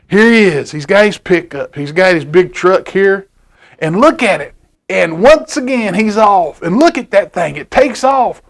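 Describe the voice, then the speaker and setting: A man reading a picture book aloud to children at a library storytime, asking them questions as he goes. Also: sits high. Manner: chatty, asks children questions, repeats words.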